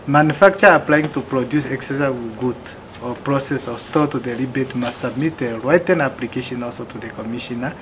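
A man's voice: medium pitch, a little hiss or hum, low-quality recording. Methodical man explaining customs rules. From Namibia. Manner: plain, methodical, listing items with occasional restarts. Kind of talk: explanatory presentation. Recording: music playing behind.

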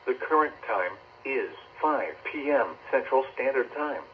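A man's voice: medium pitch, animated, a little slow. A synthesized man's voice reading a scripted weather bulletin in a stilted, formal way, played from a weather radio indoors. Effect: synthetic voice over weather radio. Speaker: automated weather radio announcer. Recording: dull radio speaker, clear noise.

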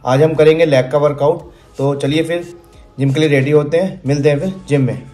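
A man's voice: a little high, steady tone, a little fast, music in the background. A young man vlogging to camera in a room at home, giving direct, friendly fitness advice. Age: young adult. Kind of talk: casual talking-to-camera fitness tips.

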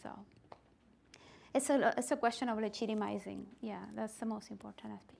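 Animated woman presenter, gravelly voice. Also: mid-range voice, brisk, slight background noise. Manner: animated, gestures while talking, emphatic. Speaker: workshop presenter. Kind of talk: workshop discussion. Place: meeting room indoors.

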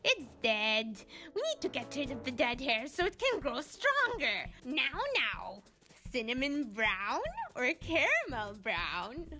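Cartoon villainess: towering salon boss, high and swooping, slow and grandly menacing. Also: female voice, sing-song, sharp tone, faint music behind, full character voice. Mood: bossy, gleeful. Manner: grand, drawn-out, commanding delivery. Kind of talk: animated cartoon voice acting.

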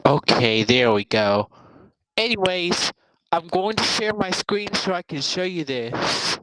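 A man hosting an online meeting, making formal announcements in a halting, repetitive way on a video call. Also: high voice, expressive, noisy background. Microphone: video call microphone.

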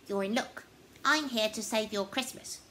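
A woman voicing a brash, boastful, easily wounded little creature in a children's Christmas story, loud and theatrical. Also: fairly high pitch, normal speed, slight background noise, close to an everyday voice. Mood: cocky, bossy. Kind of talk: children's story character reading.

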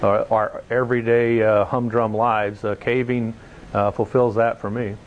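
Lowish-voiced man being interviewed. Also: little pitch movement, measured pace, dull audio. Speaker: volunteer caving project leader, interview guest. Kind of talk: conversational news interview.

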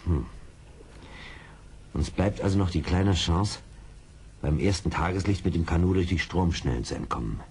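A seasoned woodsman and leader in an old radio play. His voice is on the low side, with a wry, mocking edge and easy command, over faint record noise. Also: normal speed, vocal fry, pauses often, darkish tone. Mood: calm, commanding. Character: wry, seasoned male frontier scout, calm leader. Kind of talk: German radio play acting.

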